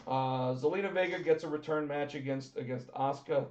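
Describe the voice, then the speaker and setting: A man co-hosting a casual wrestling podcast, talking excitedly and repeating himself, with his voice going hoarse. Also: fairly high pitch, brisk. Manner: excited, talkative, repeats words when starting. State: losing his voice. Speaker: podcast co-host. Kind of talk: casual chat about pro wrestling. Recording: roomy, dull lo-fi sound.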